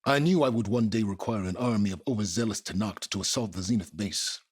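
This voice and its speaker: Casting: calculating older male scholar for a game; very raspy, low, pompous, condescending, expressive delivery. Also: no room sound, clean studio sound. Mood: measured, superior. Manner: pompous, condescending, deliberate, formal wording. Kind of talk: video game dramatic acting.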